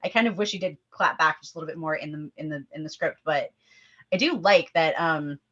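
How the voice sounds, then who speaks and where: A woman hosting a casual film discussion, talking in an animated, opinionated way with rambling asides. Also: low voice, brisk, highs cut off. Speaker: host leading a table-read discussion.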